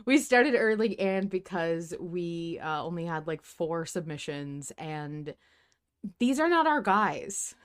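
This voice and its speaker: A woman's voice: fairly low pitch, animated, slow pace, clean tone. A woman chatting casually and playfully as the host of a fan podcast, in an informal way.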